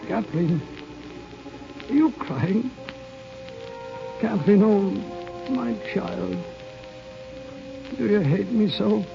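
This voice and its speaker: An older man acting a stern, grieving elderly uncle in a vintage radio drama, with measured, formal, dramatic delivery. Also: high-pitched, expressive, vocal fry, full character voice. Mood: pained, pleading. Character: stern, grieving elderly guardian uncle, wistful about the past. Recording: muffled old radio broadcast, music behind.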